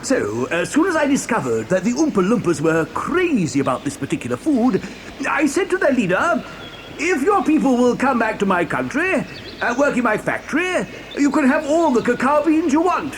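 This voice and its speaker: A man's voice: very high-pitched, huge pitch swings, normal speed, a little hiss or hum, full character voice. A man playing an eccentric, gleeful chocolate factory owner in an audio dramatisation, effusive, stammering and theatrical. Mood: eager storytelling.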